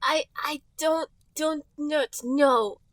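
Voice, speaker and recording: A woman's voice: high-pitched, steady tone, very slow, no echo, clean studio sound, voice pushed up. A woman voicing an angry, indignant young male fox in a fan fiction read-aloud. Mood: hesitant, huffy. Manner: indignant, exclaiming.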